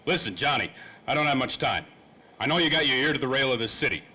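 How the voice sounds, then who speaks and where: A man playing a bright-voiced hard-boiled private eye. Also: medium pitch, expressive, fast, slightly rough, full character voice. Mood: weary, pressing. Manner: slangy, wry tough-guy talk. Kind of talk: old-time radio drama acting. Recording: faintly noisy old-time radio recording.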